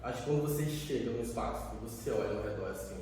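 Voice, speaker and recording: A man's voice: medium pitch, fast, darkish tone. A man in his twenties, an educator, talking earnestly and reflectively in a casual conversation. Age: 20s. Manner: reflective, earnest, many fillers. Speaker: youth educator. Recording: echoey room, distant mic.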